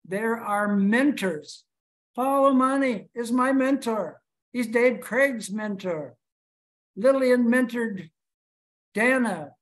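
A man's voice: high-pitched, a bit bright. A man from Chicago giving a prepared speech to his club over a video call, telling his story with rhetorical questions. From Chicago, USA.

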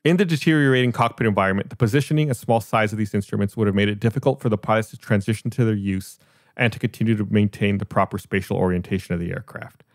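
A man's voice: on the low side, fast, no room sound, studio quality. An American man hosting a podcast, reading out and explaining a report in a matter-of-fact way. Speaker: podcast host.

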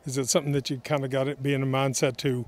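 A man's voice: medium pitch, level tone, racing. A man, a reporter asking long, rambling questions to a coach outdoors at a ballpark. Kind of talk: press questions.

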